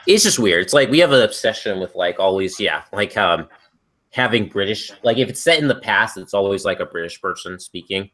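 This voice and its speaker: A man's voice: fairly low pitch, animated, a little fast, fairly bright tone. A man chatting casually and wordily, repeating himself as he circles around his point. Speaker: co-host of a casual stream. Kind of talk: casual rambling chat.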